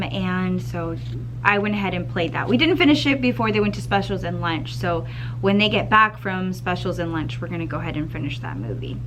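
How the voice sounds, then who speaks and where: A second grade teacher with a slightly scratchy voice, chatting upbeat to camera in a school classroom, clearing her throat now and then. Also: medium pitch, fairly bright tone. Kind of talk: casual vlog chat to camera.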